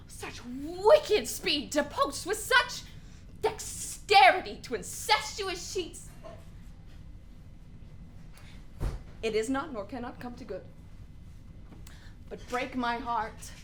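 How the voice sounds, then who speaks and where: Brooding young prince, radio Shakespeare: high voice, slow anguished verse, faint background noise. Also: female voice, animated, a bit bright, heavily acted. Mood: anguished, disgusted. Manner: anguished, drawn-out, exclamatory verse delivery. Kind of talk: Shakespearean radio play acting.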